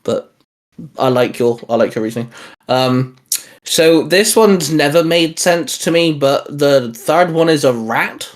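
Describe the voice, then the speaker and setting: Man, higher-than-average voice, channel host, informal, chatty, casual discussion. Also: a little slow.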